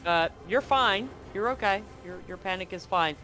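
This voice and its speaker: This is a game master running a live tabletop horror game from a home setup. The delivery is easygoing and joking, shifting into slower, measured narration for the scene, while faint music plays in the background. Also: medium pitch, poor audio. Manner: relaxed, joking, slows for vivid scene narration.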